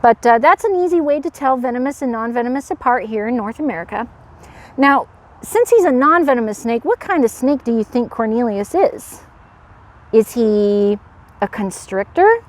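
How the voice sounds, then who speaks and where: A woman presenting as a park educator to children, with an animated manner, explaining and putting questions to young viewers. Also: fairly high pitch, normal speed. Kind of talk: animated educational read-aloud and animal demonstration.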